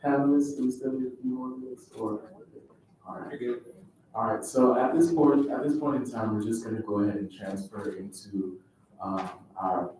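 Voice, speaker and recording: A man's voice: medium pitch, fairly even intonation, normal speed, dark tone. Young guy hosting student event, polite announcements, super echoey and far from mic. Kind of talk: informal event announcing to a group. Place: large indoor room at a student event. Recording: very echoey room, distant mic, noisy.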